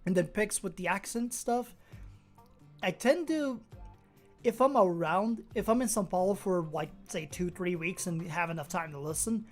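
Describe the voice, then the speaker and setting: A woman from Brazil with a fairly low voice, speaking English with a mostly American accent and a faint Brazilian edge. Her first language is Portuguese. She chats casually and rambles as she thinks aloud, over a faint bed of music. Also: moderate pace. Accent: mostly American with a slight Brazilian touch. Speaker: streamer. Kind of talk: casual chat with viewers while playing a game.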